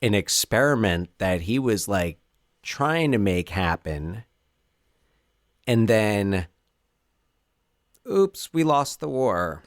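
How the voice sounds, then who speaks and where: Man co-hosting a podcast, lively restarts. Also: on the low side, slow, pauses often, no echo, studio quality. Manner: animated, frequent restarts. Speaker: podcast co-host. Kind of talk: casual chat.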